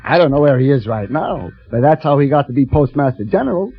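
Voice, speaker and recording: An actor playing an eager, boastful young elevator operator who gets easily flustered, in 1940s radio style. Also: male voice, mid-range voice, expressive, quick pace, a little hiss or hum, close to an everyday voice. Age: young adult. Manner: earnest bragging, stammering when flustered. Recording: muffled old radio broadcast with music.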